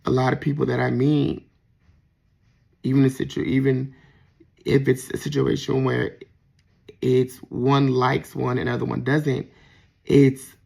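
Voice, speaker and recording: A man with a soft voice, talking reflectively in a casual personal monologue with frequent pauses and restarts. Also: mid-range voice, flat delivery, gravelly, husky. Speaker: vlogger.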